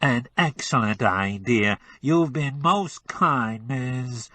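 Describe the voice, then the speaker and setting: A man's voice: medium pitch, lively intonation, slow pace, sharp tone, no room sound, distant mic, full character voice. A man doing an adenoidal nasal twang. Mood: glib. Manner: glib, overly polite. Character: nasal fake gentleman from out of town.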